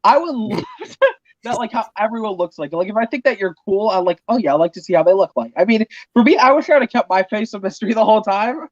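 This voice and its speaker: A young man chatting casually on a stream call, self-mocking and quick to laugh. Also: high-pitched, animated, no echo. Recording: slightly muffled dry lo-fi mic.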